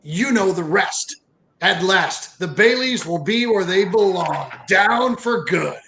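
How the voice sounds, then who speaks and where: An actor playing a mean, scheming old rich man in a radio play, sharp and menacing. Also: high-pitched, expressive, slight background noise, exaggerated voice. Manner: smug, menacing, deliberate.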